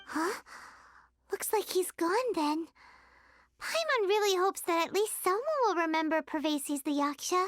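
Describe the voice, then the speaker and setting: A woman voicing a tiny, childlike, excitable fairy companion in a video game, chatty and whiny with exclamatory delivery. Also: very high voice, unhurried, no echo, exaggerated voice. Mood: chirpy, earnest. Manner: speaks of self in third person, exclamatory. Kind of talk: video game character acting.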